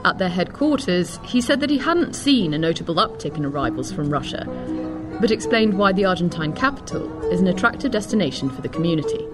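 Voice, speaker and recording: Lively woman narrating a news report. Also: lowish voice, a little fast, music in the background. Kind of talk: scripted news feature narration.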